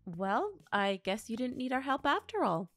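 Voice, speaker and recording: A woman's voice: on the high side, big pitch swings, moderate pace, a bit bright, soft background music. A woman playing a teasing, supportive friend in a naturalistic audio drama. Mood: reassuring. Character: playful, supportive girlfriend and friend in costume.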